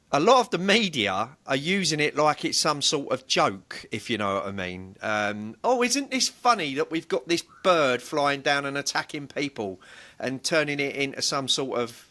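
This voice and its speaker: A man's voice: medium pitch, sing-song, moderate pace, bright, forceful tone. A man co-hosting a podcast, bantering casually with a blunt, rambling way of talking and the odd swear word. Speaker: podcast co-host.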